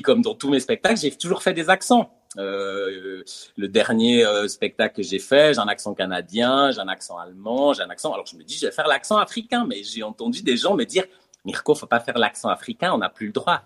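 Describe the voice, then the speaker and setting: A man's voice: mid-range voice, animated, a little fast, a bit bright. A man, a comedian who also teaches theatre, talks casually in an interview. He hesitates often and keeps circling back with fillers and repeated words.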